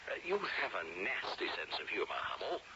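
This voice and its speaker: An actor playing a talkative, bantering British colonial gentleman in a radio drama, with a hearty manner. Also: on the low side, huge pitch swings, brisk, creaky, exaggerated voice. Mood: puzzled, chiding. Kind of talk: old-time radio drama acting. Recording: muffled, noisy old radio drama tape.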